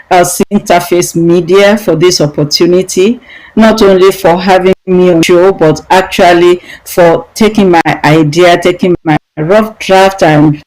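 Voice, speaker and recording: A woman's voice: fairly low pitch, a little mellow, low-quality recording. A middle-aged woman with a Nigerian accent, answering conversationally as a guest author on a video-call interview. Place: indoor room, video call. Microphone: video-call microphone.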